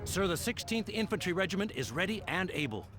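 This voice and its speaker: An actor playing an alert, dutiful junior soldier making reports in a war video game. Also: male voice, sits high, big pitch swings, brisk, background noise. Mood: brisk, dutiful. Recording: music and battle sounds behind.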